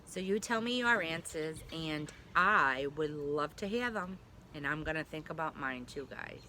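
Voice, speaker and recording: A woman's voice: sits low, expressive, measured pace, pushed and bright, slight background noise. A woman pre-K teacher reading a picture book aloud to young children, speaking simply and directly to them with little check-in questions.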